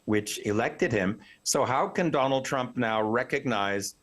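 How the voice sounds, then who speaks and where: A man's voice: mid-range voice, a little slow, fairly bright tone. A man speaking as an academic expert in a news interview, explaining his opinions articulately and analytically. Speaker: professor, expert news guest.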